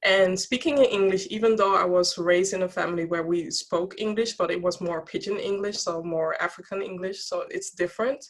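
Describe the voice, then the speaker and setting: Earnest Dutch-native woman, lowish voice. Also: brisk, hardly any pauses. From Netherlands. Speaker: guest speaker. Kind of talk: casual interview over video call.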